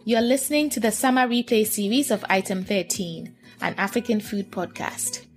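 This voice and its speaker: A woman hosting a food podcast, reading a scripted intro smoothly and then chatting with her guest. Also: medium pitch, moderate pace, faint music behind. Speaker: podcast host. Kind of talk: scripted intro and casual interview.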